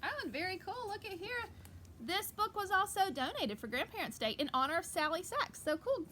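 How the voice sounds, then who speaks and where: A woman's voice: very high voice, sing-song, a little fast, bright, forceful tone, slight background noise. A woman teacher talking and reading to young pupils in a casual, folksy, encouraging storytime manner, with explanatory asides. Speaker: elementary school teacher reading aloud to pupils. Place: outdoors on her back porch. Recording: outdoor home recording with background ambience.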